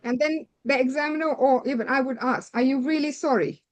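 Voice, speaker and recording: A woman's voice: high-pitched, fairly quick. A woman giving a direct, instructive lecture to doctors preparing for a medical licensing exam, stringing her points together with "so".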